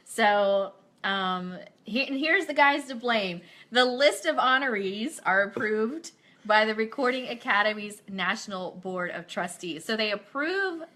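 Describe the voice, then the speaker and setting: A woman's voice: mid-range voice, expressive, a little slow, bright, forceful tone. A woman hosting a casual fan radio show, talking in an enthusiastic, informal way as she plugs things.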